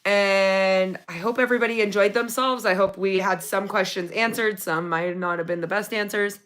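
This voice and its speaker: A woman hosting a casual livestream from her phone, reading viewer comments, her voice going raspy from talking so long. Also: medium pitch, moderate pace. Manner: chatty, excited when thanking viewers for gifts. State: losing her voice, getting raspy. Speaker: livestream host.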